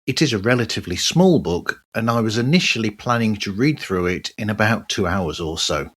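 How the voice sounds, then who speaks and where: Man, podcast host, lowish voice, lively intonation, personal monologue, wry and sardonic. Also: brisk, husky.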